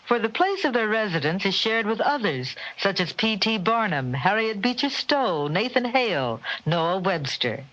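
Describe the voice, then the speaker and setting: A woman's voice: mid-range voice, a bit bright. A woman reading a scripted, informational radio narration as an announcer. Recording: dull old radio recording.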